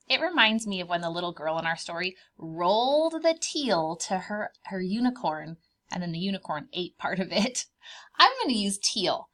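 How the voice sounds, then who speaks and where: A woman's voice: mid-range voice, pushed and bright. A woman preschool teacher leading a children's art lesson step by step, speaking in simple, child-directed language with encouraging questions and repeated phrases.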